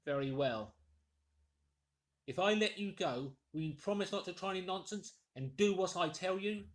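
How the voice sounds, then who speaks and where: A man's voice: high voice, moderate pace, voice pushed up, natural voice. A man voicing a menacing invisible intruder in an audiobook, giving curt, formally worded orders. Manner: threatening, curt, formally articulate.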